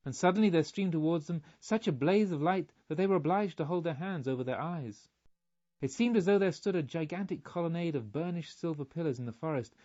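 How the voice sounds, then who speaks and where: Male audiobook narrator, literary, formal, measured, somewhat high pitch, a little muffled. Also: a little mellow.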